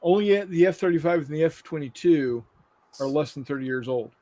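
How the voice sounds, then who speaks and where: Higher-voiced man in casual chat. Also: fairly quick, warm tone. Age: 50s. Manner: blunt, swears freely. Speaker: host. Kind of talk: casual chat, reading live viewer comments.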